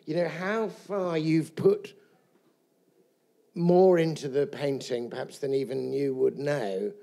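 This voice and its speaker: Gravelly, musing man. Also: a little high, mellow. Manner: thoughtful, musing, measured. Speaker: former college chaplain. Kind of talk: reflective audience comment. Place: college event hall with an audience.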